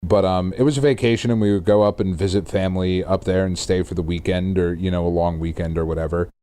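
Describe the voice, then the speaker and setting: Casual podcast co-host: middle-aged American man, lowish voice, loose jokey rambling. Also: steady tone, quick pace, mellow, smooth. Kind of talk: casual banter.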